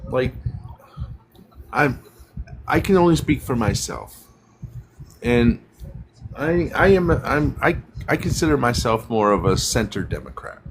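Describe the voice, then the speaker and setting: A man with a voice of middling pitch hosts a casual online political chat. He talks loosely and hesitantly, often restarting his sentences. A faint background noise runs under the call. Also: big pitch swings, measured pace, gravelly, pauses often.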